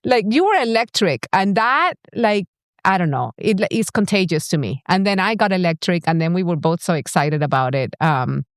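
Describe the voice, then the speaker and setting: A woman's voice: lowish voice, sing-song, no echo, clean studio sound. Venezuelan woman, candid podcast co-host. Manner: candid, enthusiastic, talks in quick restarts. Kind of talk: casual podcast conversation.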